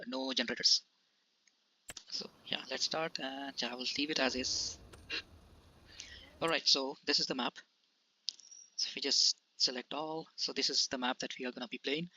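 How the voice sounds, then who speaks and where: A man's voice: mid-range voice, bright, forceful tone, muffled recording. A man from India hosting his gaming channel, talking through a farming game casually with many hesitations and restarts. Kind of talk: casual gameplay commentary.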